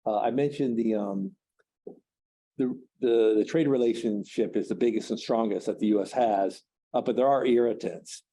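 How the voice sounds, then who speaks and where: This man talks in a low, deeply mellow voice with a touch of huskiness. He explains trade matters at a seminar, stopping often for fillers and restarts. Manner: halting, many fillers and restarts. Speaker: trade specialist speaking at a seminar. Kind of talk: seminar talk, answering questions.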